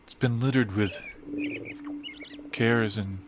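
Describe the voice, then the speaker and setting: A man's voice: on the low side, fairly even intonation, slow pace, background noise. A man playing a flustered, polite bartender in improvised audio comedy, stammering earnestly and hesitantly. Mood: awed. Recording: guitar music behind. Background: guitar music.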